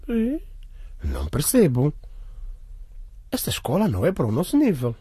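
An actor playing a grudging, suspicious middle-aged father in a radio drama, husky and grumbling, humming and hawing. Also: a little high, huge pitch swings, moderate pace, mellow, dry recording, studio quality, full character voice. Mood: sceptical. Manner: hesitant, grumbling, hums and haws.

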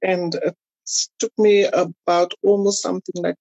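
A South African woman tells personal stories in a relaxed podcast interview. Her voice is mellow and husky, and she acts out remembered remarks as she goes. The recording is dry and somewhat dull, with little high-end detail. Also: unhurried, no echo. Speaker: podcast guest. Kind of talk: casual interview chat, telling personal stories.

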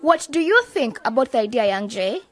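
A woman acting a bossy, focused young aspiring journalist in a radio drama, brisk and scolding. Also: fairly high pitch, lively intonation, close to an everyday voice. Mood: bossy, decisive.

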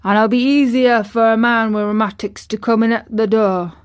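A woman's voice: a little high, fairly even intonation, normal speed, smooth, voice pushed up, close to an everyday voice. A woman voicing a crabbed but tender old gardener with a Yorkshire accent, dry and grumpy, in a storybook reading. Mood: stubborn, dry. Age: older.

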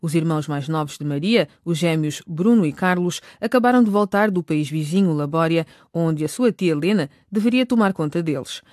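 A woman's voice: low-pitched, animated, brisk, hardly any pauses, a little mellow, no room sound, clean studio sound. A woman narrating a radio drama in a scripted storytelling style.

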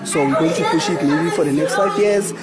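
South african guy, director, talks earnest and steady, loud music behind. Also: a little high, fairly quick, a loud crowd. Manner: earnest, explaining at length with restarts. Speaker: theatre director and scriptwriter. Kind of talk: interview talk.

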